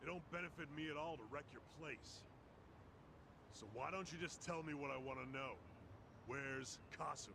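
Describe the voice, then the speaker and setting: A man's voice: on the high side, normal speed, creaky, background noise. An actor voicing a cocky, vicious gangster in a video game, sneering and swearing coarsely as he taunts. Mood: mocking. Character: cocky, vicious young yakuza thug, openly rebellious. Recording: game dialogue under loud music.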